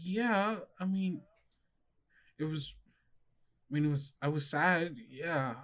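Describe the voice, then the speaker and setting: A man's voice: a little high, takes it slowly, a bit bright, voice pushed up. A man voicing a hesitant, anxious schoolgirl in a tabletop game, stammering and pleading. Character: hesitant, anxious schoolgirl slowly growing deer antlers.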